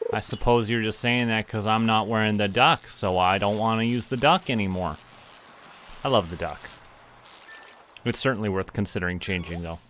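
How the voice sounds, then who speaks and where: Guy streaming a game, bright kinda low voice, chatting to himself and chat, bit of noise behind. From Canada. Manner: talking to himself and to viewers. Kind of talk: casual live game commentary.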